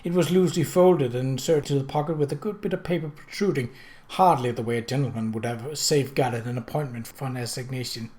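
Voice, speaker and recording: A man's voice: medium pitch, fast talker, a little mellow, close to an everyday voice. Lady amateur detective, read by a male narrator: observant, polite, inquisitive, thoughtful audiobook dialogue.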